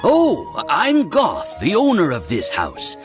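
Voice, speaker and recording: An eager landlord, flowery and ingratiating. His pitch swoops wildly in a singsong game-character delivery, with clear music behind. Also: huge pitch swings, takes it slowly, exaggerated voice. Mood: grateful. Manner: gushing, polite, singsong. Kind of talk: video game voice acting.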